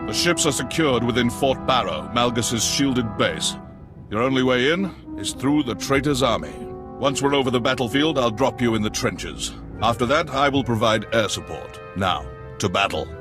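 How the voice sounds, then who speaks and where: A man's voice: mid-range voice, expressive, moderate pace, over music, lightly acted. Man playing proud, zealous Imperial general. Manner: grandiose, loyal. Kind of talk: video game cutscene acting.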